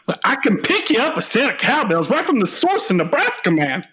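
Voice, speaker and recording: A man's voice: sits high, huge pitch swings, a little fast, sharp tone, slightly rough, exaggerated voice. A young man playing a teasing, earnest son who writes country songs, in a comic radio play, with a dry manner.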